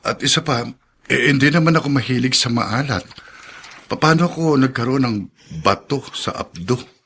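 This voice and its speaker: A man's voice: mid-range voice, moderate pace, fairly bright tone, a little hiss or hum, close to an everyday voice. An actor playing an ailing husband who brushes off his troubles, hesitant and strained, in a radio drama. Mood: puzzled.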